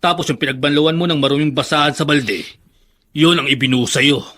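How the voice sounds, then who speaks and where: Concerned, probing confidant. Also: male voice, on the high side, a little fast, pushed and bright. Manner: questioning, insistent. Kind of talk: Filipino radio drama acting.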